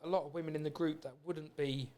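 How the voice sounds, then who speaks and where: A man's voice: on the high side, fairly even intonation, fast, mellow. A British man hosting a podcast in a casual, rambling, anecdotal way. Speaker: podcast host. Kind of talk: casual chat.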